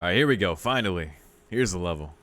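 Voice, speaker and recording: A man's voice: low voice, animated, fast, a bit bright, no room sound. A man doing casual gameplay commentary as a video host, reacting with exclamations and mock exasperation.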